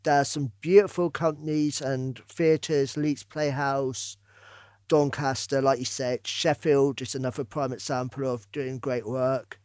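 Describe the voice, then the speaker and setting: A man's voice: medium pitch, flat delivery, a little slow, darkish tone, smooth, dry recording, studio quality. A man chatting casually as an interviewer. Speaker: interviewer and theatre producer.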